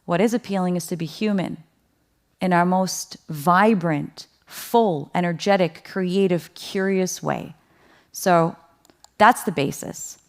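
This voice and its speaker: Chatty woman giving a lively keynote. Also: lowish voice, slow. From Netherlands. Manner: lively, conversational, asks the audience questions; laughs lightly between points. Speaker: keynote speaker. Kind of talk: conference keynote talk.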